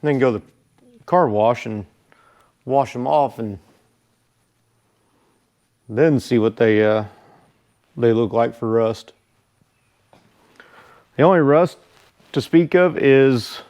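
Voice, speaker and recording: A man giving a casual product review as a motorcycle vlogger, speaking informally and haltingly. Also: mid-range voice, darkish tone. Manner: informal, halting, unhurried delivery.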